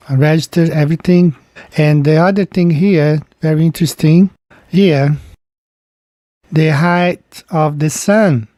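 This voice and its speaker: Slow-talking man with mellow voice. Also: on the high side, dry recording, studio quality. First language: Portuguese. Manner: slow, meandering, pointing things out on screen. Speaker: channel host. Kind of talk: casual screen-share explainer.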